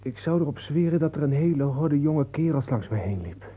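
A man's voice: fairly high pitch, expressive, brisk, slightly rough. An actor playing a blunt, shaken man on edge after a nightmare, speaking colloquially and hesitantly in a radio play. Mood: uneasy, hesitant. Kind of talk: Dutch radio play acting. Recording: thin phone-like old radio play recording.

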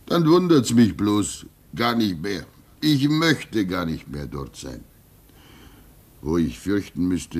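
Fatherly old hermit in a radio drama: low voice, very unhurried, gentle, resigned, reflective. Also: full character voice. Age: older. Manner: fatherly, reflective, halting, swinging between emphasis and hush. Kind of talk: German radio play acting.

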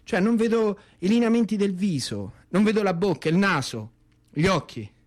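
A man's voice: high voice, animated, moderate pace. A man with a Roman accent performing a satirical, impassioned theatrical monologue in a colloquial storytelling style, sardonic and given to repetition.

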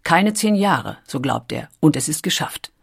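Low-voiced woman narrating dramatically. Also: animated, creaky, husky. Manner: measured, dramatic storytelling delivery. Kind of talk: scripted documentary narration.

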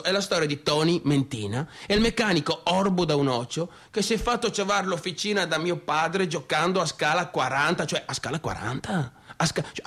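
An actor with a northeastern Italian Veneto accent playing a bitter, rambling son of a small-town businessman, in a halting, colloquial theatrical monologue. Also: a little high, fairly bright tone. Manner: halting, repetitive, confiding, conversational. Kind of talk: theatrical monologue in colloquial dialect-tinged speech.

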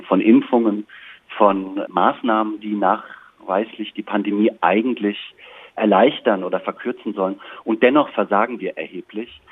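A man speaking as a discussion guest, reflective and analytical, in a measured, intellectual way through a band-limited, radio-like sound. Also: deep voice, slightly rough, no room sound, narrow, tinny audio.

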